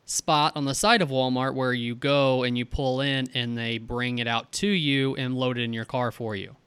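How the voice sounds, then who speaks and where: A man's voice: medium pitch, fairly even intonation, moderate pace, fairly bright tone, clean tone, no echo. A man hosting a podcast, reading an upbeat intro and then trading jokes with his co-host in casual banter.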